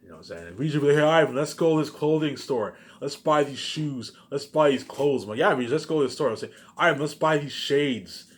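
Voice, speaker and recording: A man's voice: a little high, expressive, brisk, hardly any pauses. A man talking in a casual, rambling monologue, circling back over the same phrases with repeated filler tags and emphatic bursts that trail off. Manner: repetitive, restarts phrases, frequent filler catchphrases.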